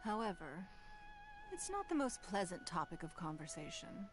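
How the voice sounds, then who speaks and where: A woman voicing a poised, commanding noblewoman ruler in a video game, speaking in a measured, formal way. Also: medium pitch, wildly swooping pitch, over music. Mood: composed. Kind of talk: game voice acting.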